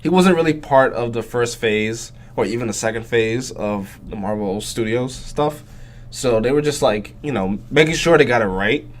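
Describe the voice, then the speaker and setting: A young adult man co-hosting a casual podcast, congested from a cold, talking softly and hesitantly from a little way off the mic. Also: mid-range voice, normal speed, a little hiss or hum. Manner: hesitant, many fillers, soft-spoken. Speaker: podcast co-host. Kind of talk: casual chat. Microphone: sitting far from the mic.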